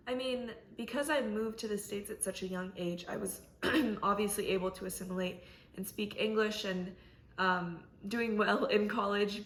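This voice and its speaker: Young woman in an echoey room. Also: mid-range voice. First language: Korean. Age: young adult. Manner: thoughtful, measured, with frequent fillers. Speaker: interviewee. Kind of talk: casual interview answers. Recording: roomy echo.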